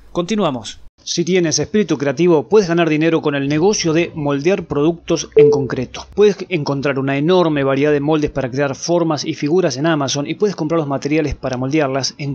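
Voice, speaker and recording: A man reading a scripted explainer as a video host, in a presentational, clearly enunciated way. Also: a little high, a little mellow.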